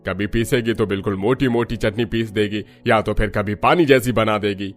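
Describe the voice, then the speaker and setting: Guy doing older father in law, low voice, grumbling and nitpicky, cartoon story, music behind. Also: steady tone, moderate pace, close to an everyday voice. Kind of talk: Hindi animated family story dialogue.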